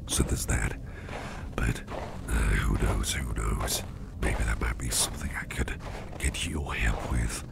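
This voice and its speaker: A man playing a caring, slyly warm boss in an ASMR roleplay, talking in a gravelly half-whisper with a rambling, folksy, reassuring manner. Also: rumbling low, very noisy, full character voice. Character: caring, gruff-voiced boss, a man with sly, soothing warmth. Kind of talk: soft ASMR roleplay, addressing the listener.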